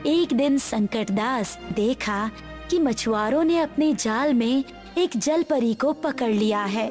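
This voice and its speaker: Female narrator for a moral tale, high-pitched and measured, over soft music. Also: lively intonation. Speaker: story narrator. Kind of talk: scripted moral-story narration.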